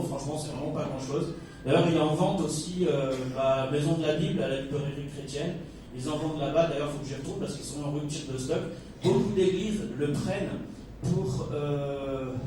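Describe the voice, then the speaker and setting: A man from Kabylie in Algeria giving an informal sermon to a congregation, chatty, with joking asides and many hesitations. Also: fairly high pitch. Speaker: guest preacher. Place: church hall. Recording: echoey hall from far off, noisy.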